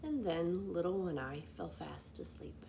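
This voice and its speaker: A woman's voice: on the low side, very animated, a little slow, warm tone. Woman narrating fairy tales over background noise. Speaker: library storyteller. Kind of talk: children's fairy tale narration.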